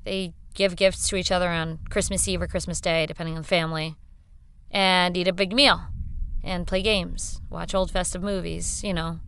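A woman's voice: fairly low pitch, fairly even intonation, normal speed, fairly bright tone, clean tone. An actress playing a weary, plain-spoken, impatient young woman in a naturalistic audio drama. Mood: subdued, explaining.

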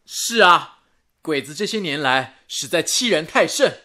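High-voiced, resolute radio worker. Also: male voice, lively intonation, a little slow, bright, forceful tone. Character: steady, resolute wartime radio station colleague. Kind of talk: radio drama acting.

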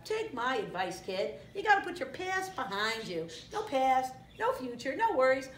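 Wisecracking carefree male meerkat, played by a woman, high and bouncy, slangy storybook sidekick in a roomy space. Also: sing-song, normal speed, recorded from a distance, slight background noise. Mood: breezy. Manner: slangy, breezy, emphatic.

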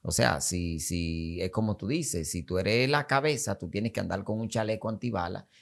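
Dominican man in his forties, lowish pitch, emphatic repetitive podcast guest on studio mic. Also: moderate pace, vocal fry. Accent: Dominican Spanish. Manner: emphatic, repetitive, gestures while talking. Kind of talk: podcast conversation. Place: small studio set. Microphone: studio mic on boom arm.

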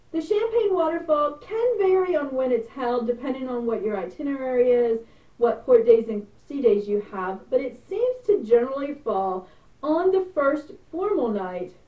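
A middle-aged woman hosting a travel vlog, chatting casually and explaining step by step. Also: high-pitched. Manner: chatty, gestures with hands while explaining. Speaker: travel vlogger. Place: small indoor room before a purple curtain. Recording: roomy, distant, phone-like sound.

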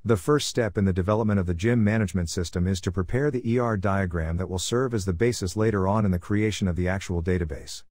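A man narrating a scripted slide tutorial, reading through lists in an even, methodical tone. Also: deep voice, no echo, clean studio sound. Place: voice-over over slides.

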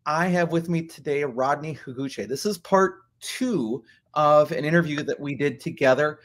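A man's voice: on the high side, moderate pace. A middle-aged man hosting an interview podcast on a video call, introducing his guest and asking questions. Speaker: podcast host. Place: indoor studio with blue backdrop.

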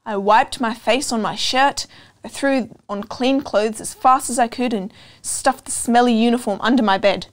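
A young adult woman reading a children's storybook aloud, expressive and deliberate, pausing to put questions to listeners. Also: on the high side. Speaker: storytime reader. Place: indoor room with couch and bookshelves.

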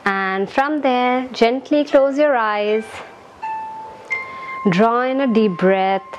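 A woman leading a guided meditation in a calm, gentle, soothing manner, giving instructions in short phrases with long pauses. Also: on the high side, muffled recording, a little hiss or hum.

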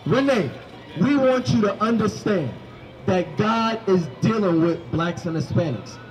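A young man with a very high voice preaches on a busy city sidewalk, microphone in hand. He quizzes the passersby and climbs into commanding shouts. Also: animated, measured pace, a little hiss or hum, low-quality recording. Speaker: street preacher. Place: city sidewalk by a food cart. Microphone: handheld microphone.

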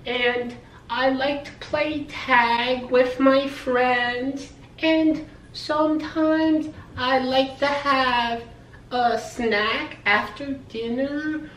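A man's voice: very high voice, steady tone, extremely slow, a bit bright, a little hiss or hum, voice pushed up, exaggerated voice. A man playing a shy, hesitant five-year-old boy in a comic monologue. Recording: roomy kitchen, distant camera mic.

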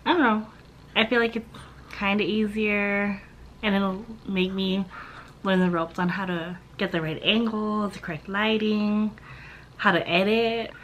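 A young woman in her twenties, a little hoarse from a sore throat, talking softly and haltingly to a camera in a small room at home. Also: mid-range voice, a little hiss or hum. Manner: soft-spoken, halting, with restarts. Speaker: vlogger. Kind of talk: casual talk to camera. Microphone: camera on a stand facing her.

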